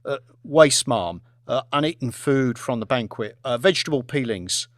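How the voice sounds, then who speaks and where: Male reader as kitchen chef, deferential, hesitant, nervous, halting delivery, dry sound, audiobook character. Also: medium pitch, animated, no room sound, natural voice.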